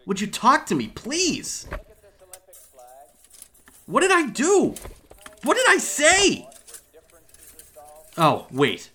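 Guy acting a whiny dumped man, flustered, slow but all over the place in pitch, audio drama. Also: wildly swooping pitch, sharp tone, full character voice. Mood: confused, pleading. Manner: pleading, flustered, stammering. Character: whiny, bewildered man being left by his lover.

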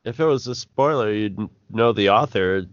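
A man's voice: fairly low pitch, moderate pace, no echo. A man co-hosting a casual podcast with laid-back, dry replies. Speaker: podcast co-host. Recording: dry, dull lo-fi sound.

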